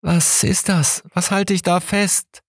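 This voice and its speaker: A man voicing a frightened blind old man, whimpering and halting, in an audiobook thriller. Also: high-pitched, fairly even intonation, husky, dry recording, pitched above the performer's own voice, full character voice. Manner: stammering, fearful, halting.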